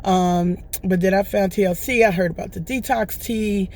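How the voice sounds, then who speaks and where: Hoarse lady rambling on her vlog, chatty, keeps going "right?". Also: mid-range voice, normal speed, darkish tone. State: hoarse, recovering from lost voice. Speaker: vlogger. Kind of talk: casual testimonial chat.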